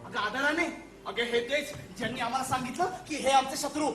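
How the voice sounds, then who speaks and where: An actor playing a naive, excitable young male soldier in a stage comedy, eager for war and often repeating his words. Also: very high voice, fairly quick. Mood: earnest, indignant. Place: theatre stage. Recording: echoey, noisy stage recording.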